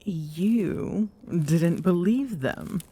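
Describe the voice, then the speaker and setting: A towering Amazonian queen played by a woman in an ASMR audio roleplay, with a lowish, breathy voice. She is bossy and teasing yet tenderly smitten, and her unhurried delivery often softens toward a whisper. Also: lively intonation, exaggerated voice. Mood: coaxing. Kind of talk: intimate ASMR roleplay acting.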